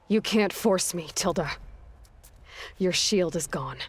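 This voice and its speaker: A woman playing a brave, determined young heroine in a video game drama, blunt and defiant, with a husky, breathy voice. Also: fairly low pitch, slow pace.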